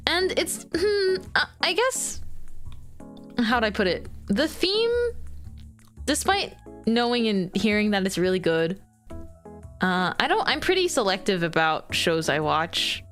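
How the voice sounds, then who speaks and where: A woman's voice: medium pitch, very animated, measured pace, fairly bright tone, faint music behind. A young woman streamer chatting casually with her viewers as she draws, rambling with fillers and frequent giggles. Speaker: streamer chatting with chat while drawing. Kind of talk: casual live-stream chat.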